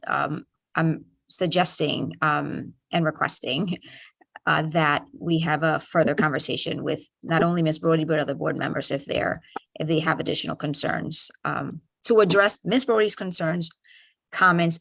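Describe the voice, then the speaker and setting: A woman speaking as a school superintendent, giving a diplomatic, measured and hesitant formal response at a meeting. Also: low voice, steady tone, gravelly, fairly bright tone.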